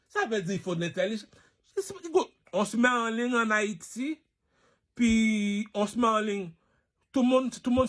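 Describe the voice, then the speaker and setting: This is a man with a notably high voice for his sex. He speaks like an online personality holding forth to his followers in loose slang. He boasts and provokes, and keeps hammering the same catchphrases as he rambles. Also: a bit bright. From Haiti. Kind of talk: rambling, slangy monologue to camera.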